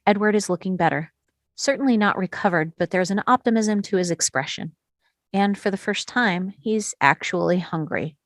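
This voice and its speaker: A woman's voice: medium pitch, no room sound, clean studio sound. A woman reading stage directions as the narrator of a script, in scripted narration.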